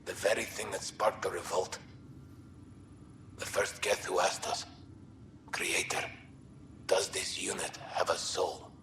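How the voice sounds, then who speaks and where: An actor voicing a proud, learned alien historian in a video game, speaking in a measured, condescending, scholarly way. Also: male voice, low-pitched, steady tone, sharp tone, exaggerated voice. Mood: scholarly, superior. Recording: echoey room, faint noise.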